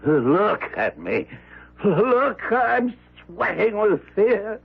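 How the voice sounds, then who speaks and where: An actor playing a terrified, wicked old miser, stammering and gasping in melodramatic radio drama style. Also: male voice, high-pitched, sing-song, drawn-out words, creaky, husky, heavily acted. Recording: tinny band-limited radio drama recording.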